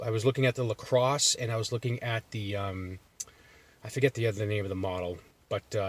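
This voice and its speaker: Rambling Boston man vlogging from his car. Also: medium pitch. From Massachusetts. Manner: rambling, loose, with many fillers and restarts. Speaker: jerky reviewer. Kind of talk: casual vlog chat. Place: parked car.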